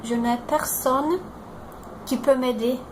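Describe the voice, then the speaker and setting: Woman, twenties, Brazilian Portuguese accent, higher-pitched, hesitant with lots of fillers. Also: steady tone, a little hiss or hum, rough recording. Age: 20s. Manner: halting, searching for words, many fillers and restarts. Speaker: language learner vlogger. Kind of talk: self-introduction video in a learned language.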